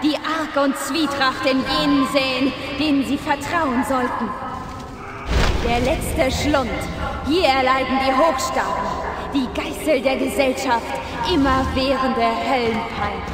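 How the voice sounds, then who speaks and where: A woman's voice: high voice, a little slow. A woman playing a fiery, commanding demon queen in a dubbed video game, bitter and accusing toward her beloved. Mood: scornful. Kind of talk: dramatic video game dubbing. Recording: loud music over voice.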